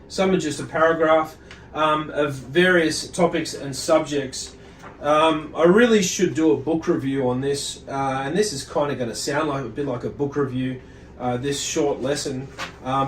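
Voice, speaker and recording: A man giving an informal religious lesson, speaking in a halting, deliberate way and often correcting himself as he explains. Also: a little high, slight background noise. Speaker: religious teacher. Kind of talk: informal religious lecture, reading and explaining from a book. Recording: roomy echo, distant microphone.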